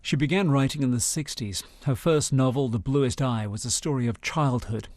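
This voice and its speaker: A man reporting for the news, reading a scripted voice-over in an even, deliberate way. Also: mid-range voice, no room sound, clean studio sound.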